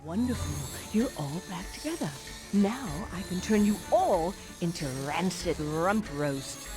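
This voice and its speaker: A villainous fairy godmother in a cartoon game. She is haughty and imperious, slow and menacing with dramatic pitch swings, over music. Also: expressive. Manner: theatrical, imperious. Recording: music under the voice.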